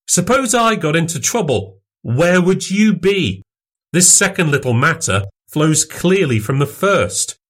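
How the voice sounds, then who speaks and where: A man voicing a smooth, cynical medical man who coaxes and mocks a younger accomplice in a storybook reading. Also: fairly high pitch, voice pushed up, natural voice. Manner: coaxing, persuasive, mocking.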